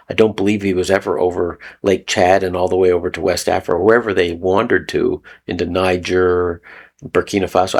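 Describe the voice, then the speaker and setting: A man's voice: sits low, creaky, breathy edge. A man from Canada giving a rambling, casual spoken teaching talk, repeating phrases and chuckling often. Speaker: video host. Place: lives in Mexico.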